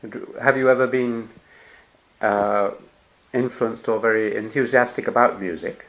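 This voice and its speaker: Man, interviewer, mid pitch, gentle prompting, murmured hmms. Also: fairly even intonation, unhurried, low-quality recording. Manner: gentle prompting with murmured "hmm" and soft laughs. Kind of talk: interview questioning.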